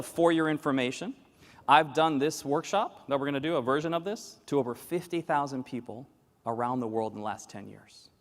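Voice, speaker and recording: A man in his forties giving a lively conference keynote, joking and quizzing the audience as he talks. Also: medium pitch. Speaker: keynote speaker. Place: large conference hall with audience at tables. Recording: long reverb of a large hall.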